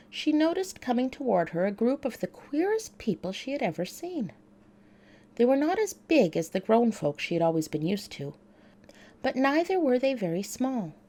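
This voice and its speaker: Mellow, expressive female bedtime storyteller. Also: fairly high pitch.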